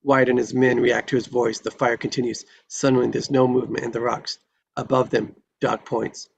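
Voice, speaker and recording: Gravelly low-key man narrating a Western script. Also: little pitch movement, moderate pace, muffled recording, a little hiss or hum. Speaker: stage-direction reader at a table read. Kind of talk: dramatic screenplay narration.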